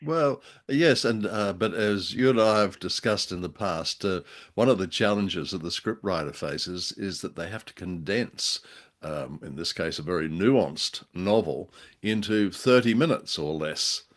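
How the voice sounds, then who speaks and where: A man's voice: low voice, lively intonation, a little slow. An Australian man co-hosting a casual discussion of old radio drama, with a hesitant, wordy and playfully genteel way of talking. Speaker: co-host of a radio drama review show.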